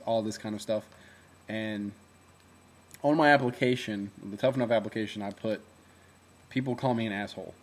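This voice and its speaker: A young man speaks into his camera in a relaxed video diary, his voice low and deep. He sometimes swallows his words and talks in a chatty, rambling way, full of fillers and quick self-corrections. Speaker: former reality show contestant.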